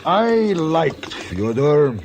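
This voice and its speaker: A doting Russian papa, rather high for a man and very slow, with ponderous hesitations. His pitch moves widely, on a noisy old radio recording. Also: very animated, highs cut off, exaggerated voice. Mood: hesitant, wistful. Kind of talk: old-time radio comedy-drama acting.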